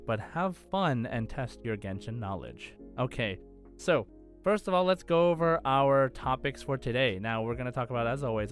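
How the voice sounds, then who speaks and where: Male podcast host, mid-pitched, casual and enthusiastic, light music underneath.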